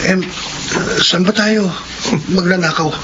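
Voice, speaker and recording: A man's voice: sits high, slow pace. An actor playing a nervous young man about to join his first burglary in a radio-style drama. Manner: hesitant, jittery. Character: nervous young man, new thief recruit. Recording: muffled lo-fi with clear noise.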